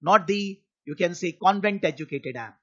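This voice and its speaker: A middle-aged man with an Indian English accent teaches an online tax class from a home office. He speaks high and a little husky, earnest and repetitive, reassuring his students. The recording is muffled and lo-fi. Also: normal speed. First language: Hindi.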